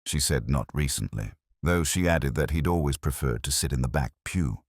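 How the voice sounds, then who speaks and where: A man reading a first-person mystery story as its narrator, in a measured, reflective, unhurried way. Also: extremely low pitch, no echo, studio quality.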